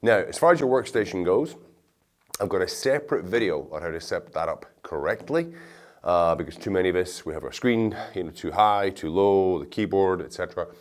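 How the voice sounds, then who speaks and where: A man's voice: deep voice, lively intonation, brisk. A man with a slightly nasal, congested voice from a cold, giving casual, reassuring step-by-step instructions as an exercise instructor in a video. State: blocked nose from a cold.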